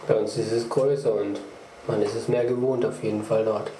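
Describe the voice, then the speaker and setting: Dad chatting casually, soft dark voice, echoey room. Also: male voice, fairly low pitch, steady tone, fairly quick. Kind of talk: casual chat. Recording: echoey room, faint background noise.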